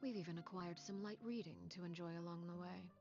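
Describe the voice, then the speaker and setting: A woman voicing a cold, low-voiced noblewoman. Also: natural voice. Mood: cool commanding. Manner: calm, measured, condescending. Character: cold, commanding noblewoman, female leader. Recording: dull game audio with music.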